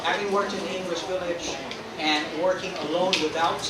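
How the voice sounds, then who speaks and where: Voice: medium pitch, steady tone, brisk, very noisy. A foreign English teacher speaking candidly and upbeat in a workshop discussion. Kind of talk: group discussion. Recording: echoey room from far off, crowd.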